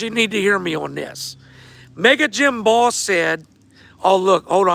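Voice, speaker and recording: A man hosting a casual livestream, answering viewer questions in a hoarse, damaged voice and talking straight to his audience. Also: sits high, bright, forceful tone. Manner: laid-back, repeats himself, talks straight to viewers. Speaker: host of a fight show answering viewer questions.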